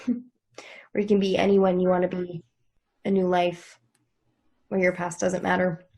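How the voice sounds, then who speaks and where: A woman reading the part of a wounded, wistful woman longing to escape, softly confiding, in a dramatic table read. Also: fairly low pitch, level tone, a little slow, creaky, husky, heavily acted. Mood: wistful, hurt.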